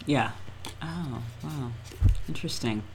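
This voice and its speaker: A woman's voice: extremely low pitch, takes it slowly. A woman hosting an interview, mostly giving short affirmations and brief laughs. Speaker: host. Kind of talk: casual interview listening. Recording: clear background noise.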